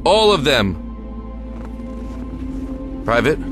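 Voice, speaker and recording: A man voices an American lieutenant who hides his fear behind firm, controlled orders. His pitch sits in the middle, with music running under the audio drama. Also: very animated, very slow. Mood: grim. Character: grim male American lieutenant, in command.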